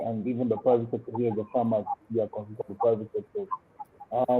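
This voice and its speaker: A man's voice: medium pitch, slight background noise. Nigerian man on a tinny call line. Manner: earnest, explains with proverbs and examples. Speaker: panelist, agribusiness owner. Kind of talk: panel discussion over a call. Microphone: remote call audio.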